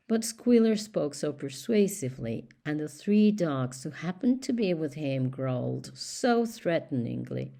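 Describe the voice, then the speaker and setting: A woman reading a storybook aloud as scripted narration, with measured, deliberate phrasing. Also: low-pitched, vocal fry, dark tone. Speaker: audiobook reader.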